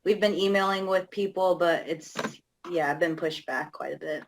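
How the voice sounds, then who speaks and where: Young woman, low voice, hesitant, many fillers, casual panel talk. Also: normal speed, noisy background. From Alaska. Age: young adult. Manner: hesitant, many fillers, laughs between phrases. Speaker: youth activist guest.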